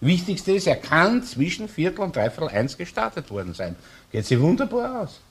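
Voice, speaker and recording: Shrewd Viennese chief inspector, middle-aged, husky, lively pitch swings, thinking aloud, crime drama. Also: male voice, moderate pace, exaggerated voice. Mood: excited, insistent. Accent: Austrian, Viennese. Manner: thinking aloud, tags sentences with "ne". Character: shrewd Viennese male chief police inspector reasoning out a case. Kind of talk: crime radio play acting.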